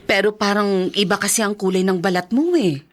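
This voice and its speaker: A woman's voice: medium pitch, a little fast, close to an everyday voice. A woman playing a caring, encouraging benefactor in a radio drama, speaking with motherly concern. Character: caring, encouraging female benefactor, addressed as ma'am. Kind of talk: Filipino podcast radio drama acting.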